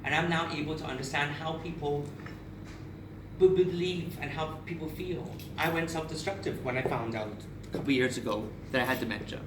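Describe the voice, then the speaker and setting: A man's voice: a little high, a little fast. A man in his thirties from Barbados gives a candid, emotional personal speech to an audience, with frequent restarts. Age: 30s. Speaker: speaker at a charity event. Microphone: far camera. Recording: echoey room, captured from far off with noise.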